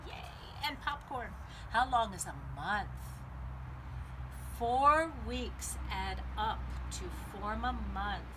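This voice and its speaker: A middle-aged woman reads a picture book to children in a high, very expressive, sing-song voice. She hosts storytime with deliberate, drawn-out phrasing, recorded outdoors with clear background noise. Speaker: library storytime host. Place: outdoors on a home patio.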